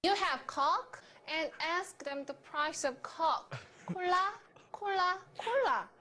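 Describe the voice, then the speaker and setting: A woman's voice: very high-pitched, takes it slowly, sharp tone. A woman giving an instructional language lesson as a teacher, repeating words and short example phrases. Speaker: English teacher.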